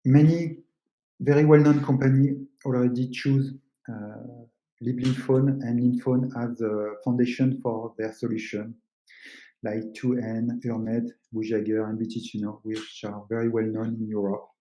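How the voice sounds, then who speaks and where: A man's voice: mid-range voice, little pitch movement, dark tone, dull audio. Man presenting in an echoey room. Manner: explanatory, halting non-native English phrasing. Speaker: webinar presenter, company co-founder. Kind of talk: technical webinar presentation. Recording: roomy, echoing sound.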